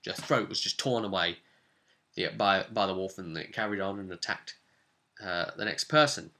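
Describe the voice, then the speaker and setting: A man speaking casually as a game master, recounting a tabletop campaign in an animated, explanatory way straight to the listener. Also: low-pitched, normal speed. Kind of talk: casual storytelling to camera.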